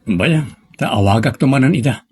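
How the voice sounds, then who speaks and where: A man's voice: mid-range voice, animated, moderate pace, slightly rough. A man playing an evasive young bridegroom-to-be in an audio drama. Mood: evasive, reluctant. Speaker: drama actor. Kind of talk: Ilocano audio drama acting.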